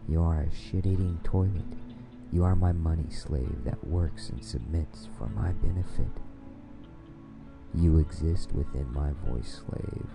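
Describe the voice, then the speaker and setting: A man delivering a scripted domination hypnosis monologue in a commanding, domineering, deliberately repetitive manner. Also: rumbling low, creaky, music in the background.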